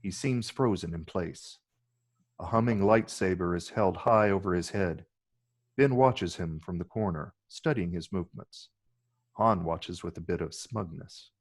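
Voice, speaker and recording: A man's voice: deep voice, a little slow. A man narrating stage directions in a scripted reading.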